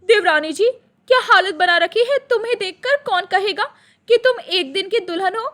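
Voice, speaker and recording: A woman's voice: very high voice, moderate pace, fairly bright tone. A woman playing a lazy, sardonic elder sister-in-law in a family story, teasing and theatrical.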